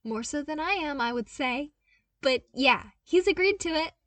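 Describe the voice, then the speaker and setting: A woman's voice: sits high, lively intonation, moderate pace, a bit bright, dry recording, distant mic, voice pushed up. A woman voicing an eager, cheeky young male engineer with a casual, upbeat, confident manner in a fan fiction audiobook. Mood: eager, cheerful.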